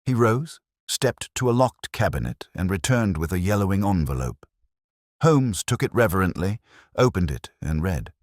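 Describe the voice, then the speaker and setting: A man's voice: sits low, big pitch swings, normal speed, no room sound, clean studio sound. A man reading a scripted detective story as an audiobook narrator.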